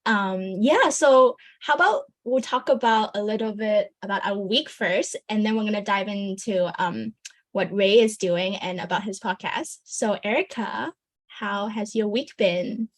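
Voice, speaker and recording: A woman co-hosting a podcast, chatting in a bubbly, quick way with lots of "like". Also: medium pitch. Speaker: podcast co-host. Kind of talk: casual podcast chat.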